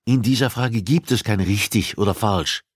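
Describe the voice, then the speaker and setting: A man voicing a cold, commanding criminal profiler in an audiobook, arguing with deliberate insistence. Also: on the low side, slightly rough, no room sound, clean studio sound, voice pushed up. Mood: grave, insistent. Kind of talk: audiobook dialogue acting.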